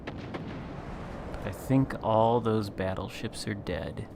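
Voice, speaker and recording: A man chatting casually as a guest while playing a naval battle game, talking in a smooth late-night radio voice. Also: fairly low pitch, extremely slow, background noise. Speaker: guest content creator. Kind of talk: casual gameplay chat.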